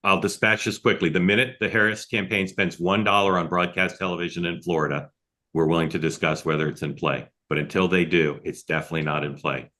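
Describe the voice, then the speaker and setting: A man hosting a political discussion on a video call, moderating with brisk, direct questions. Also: low-pitched, steady tone, pushed and bright. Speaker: host and moderator.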